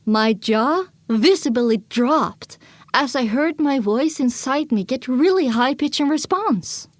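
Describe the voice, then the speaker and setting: Woman reading a first person story dramatically, takes her time, kinda high voice, really dry recording. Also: lively intonation, takes it slowly, no room sound. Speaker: narrator.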